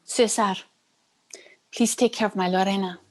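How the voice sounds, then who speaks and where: Man putting on a high anxious mother. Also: steady tone, moderate pace, faint music behind, lightly acted. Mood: pleading. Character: anxious Cuban mother seeing her daughter off. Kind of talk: play table read.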